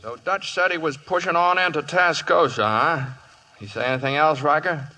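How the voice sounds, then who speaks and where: An actor playing a determined, laconic cowboy on a manhunt in a 1950s radio western. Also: male voice, on the high side, moderate pace, music in the background, natural voice. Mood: dogged, quiet.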